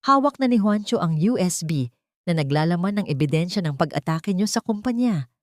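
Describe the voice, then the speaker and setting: A woman voicing a wronged, defiant daughter with a sharp, accusing manner in an audio drama. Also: on the low side, animated, fairly quick, no room sound, clean studio sound, natural voice. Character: Marian, wronged daughter, cold, defiant, accusing her stepmother.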